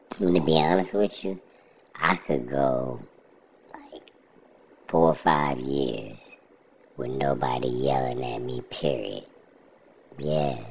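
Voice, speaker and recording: A man with an extremely deep, gravelly voice talks slowly and evenly in a relaxed monologue. His delivery is plainspoken and level, close to a monotone. The recording sounds dull and lo-fi. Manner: steady, plainspoken, self-described monotone. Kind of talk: casual monologue to camera.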